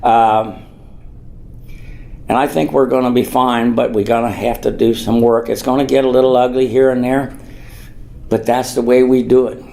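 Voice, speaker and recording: A man's voice: medium pitch, level tone, moderate pace, gravelly, long pauses, dark tone. An older man from the United States giving an informal public speech as a mayor, rambling and earnest, repeating phrases for emphasis. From Norwood, United States.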